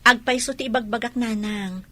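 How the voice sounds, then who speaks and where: A woman's voice: on the high side, a little fast, bright, forceful tone, dry recording. An actress with a Filipino accent playing a respectful, troubled young daughter-in-law in a radio drama, pleading as she explains. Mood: pleading, polite.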